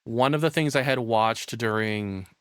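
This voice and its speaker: A man's voice: medium pitch, unhurried, smooth. A man giving casual reaction commentary as a streamer, rambling and thinking aloud with frequent swearing, close to the microphone.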